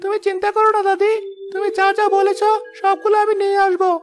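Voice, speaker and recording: A performer voicing an eager, pleading little village boy in an animated moral story, earnest and coaxing. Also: very high voice, fast, a little hiss or hum. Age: child character.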